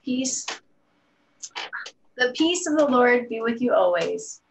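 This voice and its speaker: Middle-aged lady pastor on a video call, slow and gentle, kinda high voice. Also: expressive, creaky, slight background noise, rough recording. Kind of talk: leading online worship. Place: small room at home.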